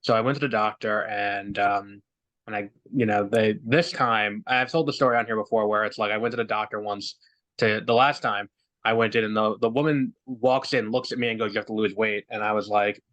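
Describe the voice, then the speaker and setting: A man's voice: lowish voice, fairly even intonation, fairly quick, fairly bright tone, muffled recording. A young man hosting a podcast in casual chat over a remote call, rambling with lots of fillers and restarts. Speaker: podcast host.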